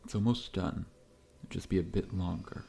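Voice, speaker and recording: A man playing a massive, friendly but faintly menacing scorpion-man dentist in an ASMR roleplay, speaking in a gentle, reassuring, politely formal way. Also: sits low, moderate pace, vocal fry, slight background noise. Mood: calm, reassuring. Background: background music.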